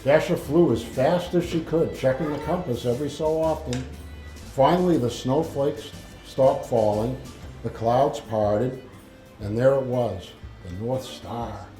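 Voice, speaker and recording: A man reading a story aloud to children in a halting, informal manner. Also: mid-range voice, mellow. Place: indoor library room with audience. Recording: echoey room, faint music.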